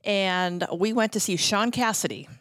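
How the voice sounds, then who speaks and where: A woman's voice: medium pitch, measured pace, a bit bright, no echo, clean studio sound. A woman in her fifties from Minnesota, a podcast guest telling nostalgic stories casually, with enthusiasm and emphasis. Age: 50s.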